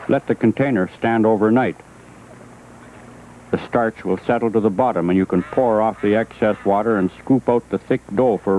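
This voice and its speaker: Low-voiced man narrating an old educational film. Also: slight background noise. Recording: old 1980s film soundtrack, phone-like, loud music.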